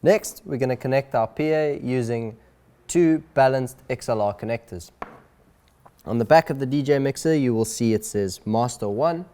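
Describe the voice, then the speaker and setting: A man presenting an instructional video in a clear, deliberate, step-by-step way. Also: mid-range voice, mellow. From South Africa.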